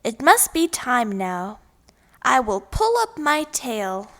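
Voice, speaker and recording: Gullible male bear for children's fable, played by a woman: high voice, earnest, unhurried delivery. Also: very animated, fairly bright tone, heavily acted. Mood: determined. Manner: earnest, simple short sentences.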